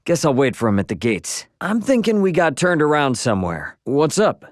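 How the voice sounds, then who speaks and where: Casting: young game hero, brash, offhand and slangy, animated intonation, mid-range pitch, close dry sound. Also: male voice, normal speed, dry recording. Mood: curious, wary. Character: brash, casual young male adventurer, impatient but good-hearted. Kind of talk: video game character voice lines.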